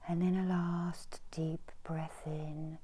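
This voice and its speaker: A middle-aged woman whispering a soothing, breathy ASMR guided meditation as a healer, softly giving instructions. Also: deep voice, little pitch movement, slow pace, a little hiss or hum. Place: small indoor room with folding screens.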